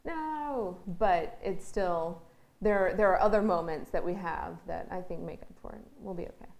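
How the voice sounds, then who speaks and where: A woman with a fairly low voice talks in an informal interview. She sounds conversational, explaining things as a member of a theatre crew. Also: moderate pace, gravelly, darkish tone. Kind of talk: casual chat.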